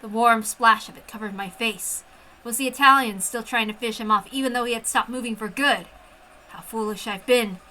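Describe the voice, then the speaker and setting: A woman reading a first-person story aloud with an expressive, intense dramatic delivery. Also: fairly high pitch, fairly quick, slight background noise, poor audio. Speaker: amateur story reader.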